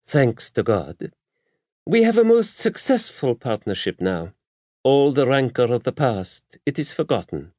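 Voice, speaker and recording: A man's voice: medium pitch, sing-song, unhurried, a little mellow, no room sound, muffled recording, pitched above the performer's own voice. A man voicing a regal, imperious older French abbess in an audiobook, with a French accent and a stately, formal, emphatic way of talking. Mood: composed.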